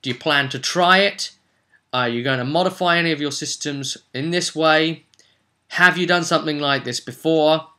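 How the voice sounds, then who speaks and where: A man's voice: a little high, normal speed, pushed and bright. A man giving an informal advice monologue as a game master and host, chatty and emphatic, often putting rhetorical questions to the listener.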